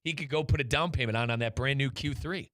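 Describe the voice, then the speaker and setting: A man with an American accent hosts a conversational sports show. His voice is bright and forward, with a chatty, emphatic delivery aimed right at the listener. The sound is close and very dry. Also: mid-range voice, fast, no echo. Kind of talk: conversational sports talk with live sponsor reads.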